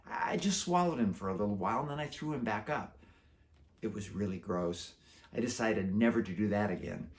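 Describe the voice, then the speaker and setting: A man who is an author reading his children's book aloud in an animated storytelling style. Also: lowish voice, brisk.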